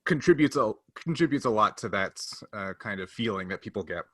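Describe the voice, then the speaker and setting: A man's voice: fairly low pitch, animated, a little fast, a bit bright, no room sound, studio quality. A man co-hosting a podcast, casually thinking aloud as he explains his points. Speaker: podcast co-host. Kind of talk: casual discussion.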